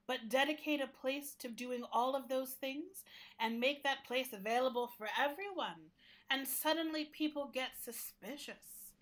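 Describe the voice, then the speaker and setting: Radio play casting: female actor for a sentient public library, high-pitched, earnest, caring, reflective, gently opinionated. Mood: earnest, bemused. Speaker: actor playing the interview guest. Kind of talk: radio play acting, conversational interview.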